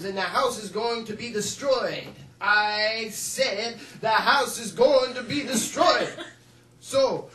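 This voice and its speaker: A man's voice: high voice, pushed and bright. A man performing a fiery male political preacher-orator in a comedy monologue, with emphatic, repetitive rhetoric. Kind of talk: mock political oratory from a film. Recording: roomy, distant mic, faint noise.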